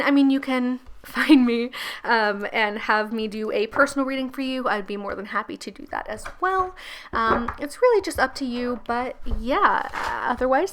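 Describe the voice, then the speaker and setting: A woman with a croaky, gravelly voice giving a casual pick-a-card tarot reading, chatty and laughing often. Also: a little high, fairly bright tone. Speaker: tarot reader and channel host.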